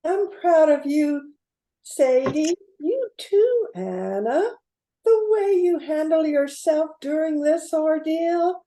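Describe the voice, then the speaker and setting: Woman playing a high, echoey, encouraging crew member. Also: expressive, slow pace, dark tone. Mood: proud, grateful. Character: proud, encouraging woman of a small airline crew. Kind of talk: amateur radio drama acting. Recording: echoey room.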